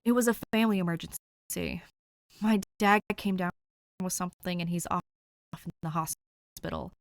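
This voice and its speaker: A woman's voice: on the low side, moderate pace, no room sound, close to an everyday voice. A woman voicing a young man on a phone call who sounds wrung out and apologetic. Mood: weary.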